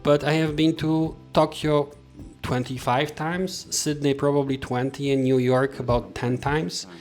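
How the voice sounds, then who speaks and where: A man's voice: on the high side, level tone, unhurried, darkish tone. Middle-aged European man over music. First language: not English. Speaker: vlogger. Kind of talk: personal travel recollections to camera.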